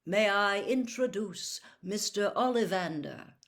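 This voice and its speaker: Storybook role: a woman plays an elderly gentleman wizard headmaster, polite, measured, with dry amusement. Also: mid-range voice, lively intonation. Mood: courteous. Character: courteous, twinkling old male wizard headmaster. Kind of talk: storybook character voice.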